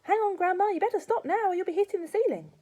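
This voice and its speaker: A woman's voice: very high voice, lively intonation, fast talker, warm tone, voice pushed up. A woman reading aloud and voicing a worried young boy pleading with his grandmother. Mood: anxious pleading. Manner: pleading, anxious.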